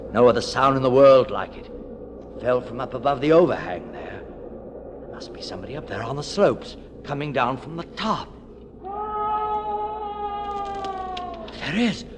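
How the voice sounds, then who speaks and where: A man's voice: mid-range voice, lightly acted. An actor playing a weary, fatalistic man in his fifties, brooding aloud in a noisy 1940s radio drama. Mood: straining, urgent. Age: 50s. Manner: brooding, dramatic, haltingly musing. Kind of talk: 1940s radio drama acting, interior monologue. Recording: noisy, hissy 1940s radio broadcast recording.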